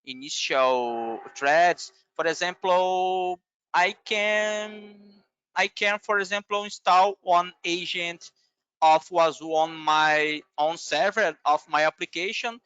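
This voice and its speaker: A man whose native language is Portuguese, giving a webinar talk haltingly with many filler sounds over a video call. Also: high voice, bright, forceful tone, smooth, lo-fi sound. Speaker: guest speaker.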